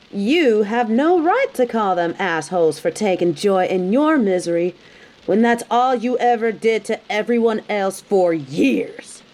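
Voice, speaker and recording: Woman acting a mellow, sardonic female bounty hunter. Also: mid-range voice, close to an everyday voice, rough recording. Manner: sassy, dry, taunting, bluntly scolding. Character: tough, sardonic female bounty hunter, grudgingly hospitable, scolding. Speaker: voice actor in roleplay audio. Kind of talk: scripted audio roleplay, addressed to listener. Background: rain.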